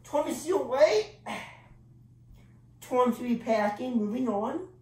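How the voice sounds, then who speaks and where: Woman playing a slow, theatrical clown, echoey. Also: sits high, animated, warm tone. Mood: excited, restless. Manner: grandiloquent, dramatic, pausing for effect. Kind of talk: solo dramatic monologue performance. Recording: echoey room, distant microphone.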